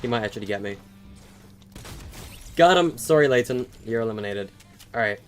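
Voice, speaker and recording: Young man, eighteen, game streamer, casual chat with viewers, stuttery, mid-pitched, faint background music. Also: expressive, normal speed. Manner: rambling, with frequent fillers and stutters.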